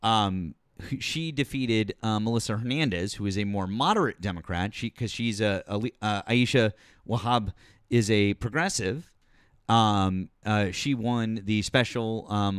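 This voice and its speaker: A man's voice: lowish voice, clear, resonant tone, no echo, clean studio sound. A man hosting a live political talk stream, taking callers and speaking casually with lots of fillers and blunt swearing. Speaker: political talk show host.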